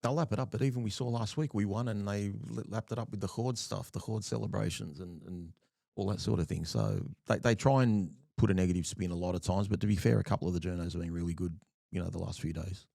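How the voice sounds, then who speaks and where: A man's voice: low-pitched, fast talker, gravelly, mellow, no echo, clean studio sound. A man, co-hosting a casual sports podcast and pushing his argument insistently. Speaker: podcast co-host.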